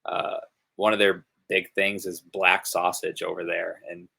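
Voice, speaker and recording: A young man with a Northern US accent, a hockey player chatting casually on a video call, relaxed and rambling with many fillers. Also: a little slow. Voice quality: gravelly low voice. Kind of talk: casual interview chat. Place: home room, video call.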